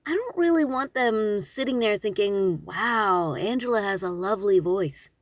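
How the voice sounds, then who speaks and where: A woman giving a casual instructional talk, conversational and expressive, with emphatic phrasing. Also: on the high side, no room sound, studio quality. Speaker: audiobook narrator and voice actor.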